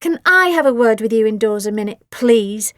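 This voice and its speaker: A woman's voice: a little high, expressive, moderate pace, a bit bright, no room sound, studio quality. A woman reading aloud, voicing an angry teenage girl who snaps and stammers. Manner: snapping, stammering. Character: cross, flustered teenage girl.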